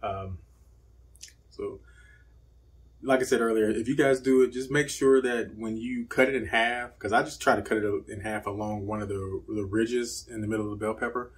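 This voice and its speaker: A man in his thirties hosting a home cooking channel, talking through a recipe in a relaxed, rambling way with lots of fillers. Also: lowish voice, normal speed, slightly rough. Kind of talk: casual cooking voice-over and taste test. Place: indoor home set with plain backdrop.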